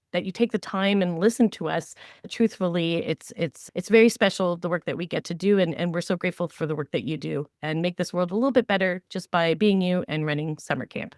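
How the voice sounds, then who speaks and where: Female podcast co-host, lower register, earnest and grateful delivery, no room echo. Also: darkish tone, studio quality. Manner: earnest, appreciative. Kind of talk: conversational podcast sign-off.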